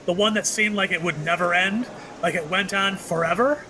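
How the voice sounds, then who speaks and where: Man playing a high-voiced, cryptic shopkeeper. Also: moderate pace, bright, forceful tone. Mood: genial, wry. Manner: courteous, hesitant, cryptic. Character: mysterious, apologetic male shopkeeper offering tastes of time. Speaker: actor in an audio play. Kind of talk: audio drama acting. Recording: clearly noisy background.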